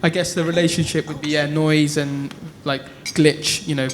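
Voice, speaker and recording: A man's voice: a little high, little pitch movement, moderate pace. A man, an artist, talking at a public panel, hesitant with many stumbling restarts. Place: art gallery talk before an audience. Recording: echoey gallery with background noise.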